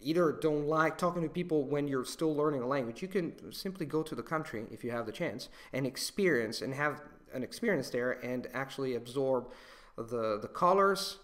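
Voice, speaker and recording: A young Italian man answering viewer questions in a casual monologue, pausing and restarting often as he thinks aloud. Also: mid-range voice, lively intonation, fairly bright tone. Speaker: language learning video host.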